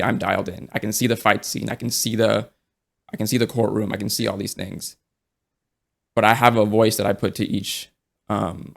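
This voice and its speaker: A young man in casual podcast conversation, talking in a relaxed, rambling way with many fillers and restarts. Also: fairly low pitch, vocal fry.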